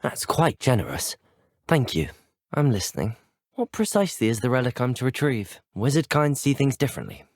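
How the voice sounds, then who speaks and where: A man's voice: medium pitch, big pitch swings, fairly quick, no room sound, studio quality. A young man with a British accent voicing a polite, earnest, brave teenage wizard student in scripted video game dialogue, well-spoken and courteous. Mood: curious, purposeful.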